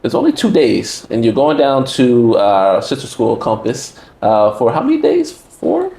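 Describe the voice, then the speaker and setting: A man's voice: mid-range voice, wildly swooping pitch, unhurried, creaky, dark tone. American male podcast host, roomy sound. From United States. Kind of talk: conversational interview. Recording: roomy echo.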